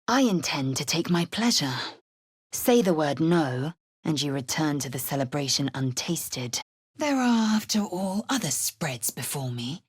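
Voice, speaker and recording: A stern, imperious alien warrior woman for a video game. Her voice sits low and has a husky grain. She speaks slowly in formal, clipped phrases, proud and fierce. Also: big pitch swings, no echo, clean studio sound, exaggerated voice. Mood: commanding, seductive. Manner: clipped, formal, haughty delivery. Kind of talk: video game character voice acting.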